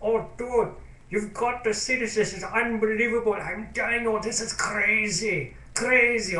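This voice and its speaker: A man putting on an Indian accent, playing an excitable adult man who talks in breathless, drawn-out exclamations. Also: high-pitched, far from the mic, voice pushed up. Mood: excited. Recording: roomy echo.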